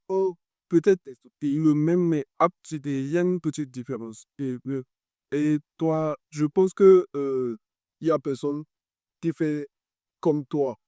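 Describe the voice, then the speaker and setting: A man hosts a podcast in a casual, halting way, pausing and filling gaps as he gathers his thoughts. His voice sits a little high and warm. The sound is close and dry. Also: no echo. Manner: hesitant, halting with fillers. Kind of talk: casual interview chat.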